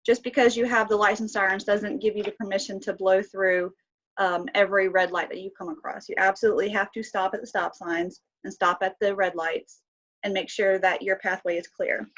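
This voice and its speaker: Woman instructor, sniffly from a cold, mid pitch, lecturing with fillers over a slightly muffled call. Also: level tone, brisk, a bit bright. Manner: asks students questions, many fillers. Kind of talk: online class lecture.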